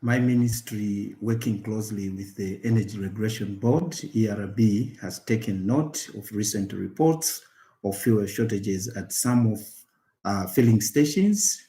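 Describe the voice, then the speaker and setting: A man with a Zambian accent reading a formal government statement in a deliberate, official manner with halting phrasing. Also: lowish voice, dark tone. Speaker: government minister.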